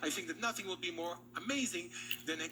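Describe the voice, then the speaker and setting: A man's voice: high voice, fast, noisy background. A man from Israel performing as a stage magician, speaking showy patter to an audience and a guest.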